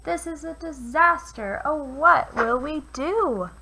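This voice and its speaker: A small, excitable scribble creature from a picture book frets aloud as a woman reads it. The voice is high, bright and plaintive, with faint noise behind it. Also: takes it slowly, gravelly, exaggerated voice. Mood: alarmed, plaintive. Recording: noisy home recording.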